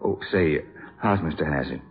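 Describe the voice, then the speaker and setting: An actor playing an earnest, awkward American jazz musician, plain-spoken and halting, in 1950s radio drama style. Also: male voice, extremely low pitch, breathy edge. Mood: sheepish. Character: earnest, awkward, lovestruck male jazz trumpet player. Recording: tinny, narrow old radio broadcast.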